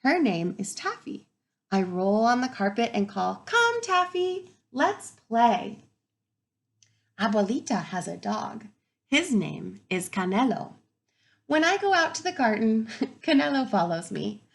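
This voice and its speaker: A woman kindergarten teacher reading a picture book aloud to young children in an expressive way, pausing to wonder aloud. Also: mid-range voice, vocal fry.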